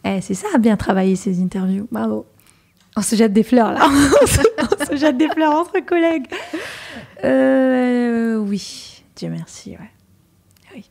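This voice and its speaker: A woman's voice: on the high side, measured pace, creaky. A woman in her thirties from Algeria, a journalist and presenter, chatting as a guest in an interview, laughing often and restarting her sentences. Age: 30s. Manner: lively, laughs often, many fillers and restarts. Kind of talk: casual interview talk.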